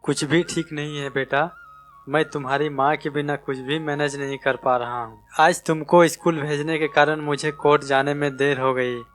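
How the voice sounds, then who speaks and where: A man's voice: fairly high pitch, steady tone, normal speed, a bit bright, soft background music, close to an everyday voice. Weary, affectionate family man played by an actor. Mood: sad, frustrated. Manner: weary, tender. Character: tired, affectionate, burdened father and husband.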